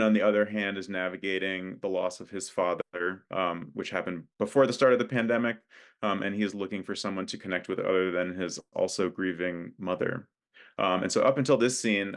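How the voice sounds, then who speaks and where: A man, a playwright, introducing and reading from his own script in a careful, halting way. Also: deep voice, fairly bright tone.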